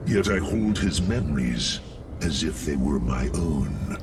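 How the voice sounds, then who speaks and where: Very deep, gravelly synthetic alien warrior. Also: male voice, lively intonation, distant mic, noisy background, full character voice. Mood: anguished, grave. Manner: slow, grave, brooding. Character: brooding synthetic alien warrior, a troubled clone of a hero. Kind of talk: dramatic sci-fi game acting. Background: music.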